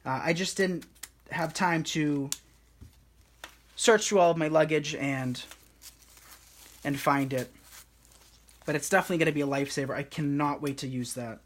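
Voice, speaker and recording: A man hosting a live craft tutorial, talking viewers through each step in a soothing, relaxed and unhurried way. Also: fairly high pitch, a little hiss or hum.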